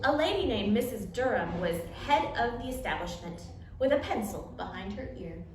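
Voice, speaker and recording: A woman reading a picture book to children as a story time host, expressive, with dramatic emphasis and pauses. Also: medium pitch, crowd noise. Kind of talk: animated picture-book read-aloud for children. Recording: echoey room, distant mic, light noise.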